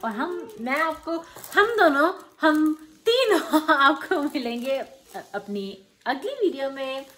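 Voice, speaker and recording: A woman's voice: high-pitched, sing-song, takes it slowly, hardly any pauses, fairly bright tone. A young woman vlogging on a road trip and in a hostel room, chatty and playfully bossy, switching between Hindi and English, filmed on a phone at arm's length. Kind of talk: casual family travel vlog. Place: inside a car, then a small hostel room. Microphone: phone held at arm's length.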